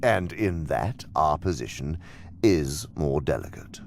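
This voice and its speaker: An actor playing a cunning, condescending senior demon who lectures with imperious scorn in an audio drama. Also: male voice, a bass voice, expressive, vocal fry, slight background noise, exaggerated voice. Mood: calculating, instructive. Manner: scornful, deliberate, theatrical lecturing. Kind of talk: audio drama monologue-like instruction.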